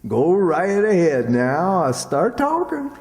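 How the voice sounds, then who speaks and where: Soft-hearted rural Southern sidekick, male actor, plaintive and folksy, very animated pitch, old-time radio style, long hall reverb. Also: slow, full character voice. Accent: rural Southern American. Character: Abner, soft-hearted, gullible, whiny rustic sidekick. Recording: reverberant hall stage recording.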